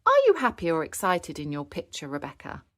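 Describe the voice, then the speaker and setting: A kindly lady gazelle who teaches little ones, played by a woman reader. She explains things patiently and encouragingly, with a very animated rise and fall. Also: low voice, fairly quick, heavily acted. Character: kindly adult female gazelle schoolteacher. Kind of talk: children's storybook character read aloud.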